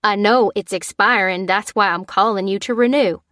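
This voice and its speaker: A woman voicing a gruff, angry father in an audiobook, growling and barking with sarcastic exasperation. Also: medium pitch, moderate pace, fairly bright tone, no room sound, studio quality, natural voice. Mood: irate, sarcastic. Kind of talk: audiobook character dialogue.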